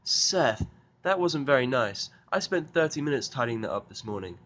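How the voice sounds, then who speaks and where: A man's voice: mid-range voice, big pitch swings, normal speed, fairly bright tone, pitched above the performer's own voice. A man voicing an anxious, bookish young female unicorn with a fretful, apologetic manner in a fan fiction audio drama. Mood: concerned, miffed.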